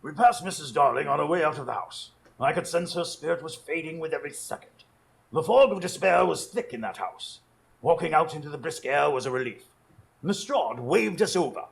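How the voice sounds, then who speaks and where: A man acts an older detective's sidekick telling the story in a radio play. His somewhat high voice crackles with fry as he describes things with wry care. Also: expressive, brisk. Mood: grave, observant. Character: older male companion of a detective, observant narrator. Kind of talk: dramatic first-person radio narration. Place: performance space in front of a live audience.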